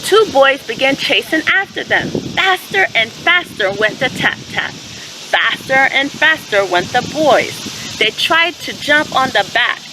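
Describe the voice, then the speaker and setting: Lady doing kids storytime, super animated, teacherly, noisy background. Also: sits high. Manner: teacherly, asks listeners questions and pauses to affirm answers. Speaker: storyteller and host of a children's read-aloud channel. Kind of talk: expressive children's story read-aloud.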